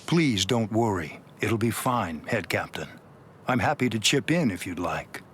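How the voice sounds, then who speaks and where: Lowish voice, lively intonation, carefree flippant underling, casual, anime game dub. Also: male voice, measured pace, slight background noise. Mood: breezy, placating. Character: breezy, carefree male subordinate of a captain.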